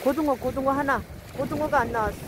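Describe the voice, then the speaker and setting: A woman's voice: sits high, fast, background noise. A woman keeping a fisherman company on a night beach, chatting and constantly exclaiming over the catch. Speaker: fishing companion. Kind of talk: casual chat while fishing.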